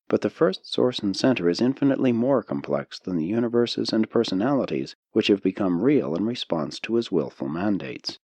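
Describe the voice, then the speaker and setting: A man narrating a spiritual audiobook in a solemn, measured way. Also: low voice, darkish tone, no echo. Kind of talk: scripted narration of a spiritual text.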